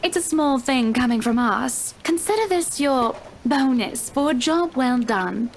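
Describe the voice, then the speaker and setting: A woman's voice: high voice, lively intonation, a little slow, a little hiss or hum. A woman playing a wealthy, pushy female house buyer in a visual novel, effusive and condescendingly polite. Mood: gushing, imperious. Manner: effusive, condescending, addresses people with endearments.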